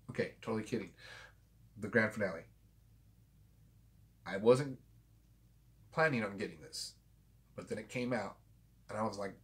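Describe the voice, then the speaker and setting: A man in his forties hosting a home vlog, talking loosely and swearing freely after a couple of drinks, recorded on a desk camera in a small room. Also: on the low side. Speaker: vinyl collector channel host. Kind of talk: casual rambling vlog. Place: small home room with framed pictures and a guitar.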